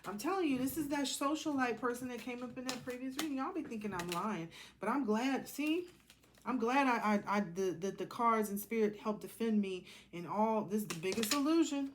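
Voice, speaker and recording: A woman's voice: a little high, fairly quick, slight background noise. An American woman giving a casual, rambling tarot card reading in a blunt, sassy, colloquial way.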